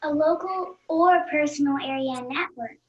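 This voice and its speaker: Woman playing a high-voiced, bossy little kindergarten girl. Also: normal speed, natural voice. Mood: blunt, matter-of-fact. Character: precocious, bossy kindergarten girl who knows computers. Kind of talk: screenplay table read. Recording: echoey, muffled video call audio.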